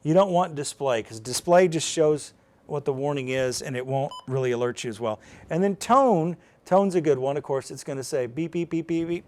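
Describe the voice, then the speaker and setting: A man's voice: a little high, expressive, moderate pace, a little mellow. A man presenting an informal, unscripted step-by-step tutorial, explaining plainly in a conversational way as he demonstrates. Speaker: presenter of a live how-to demonstration.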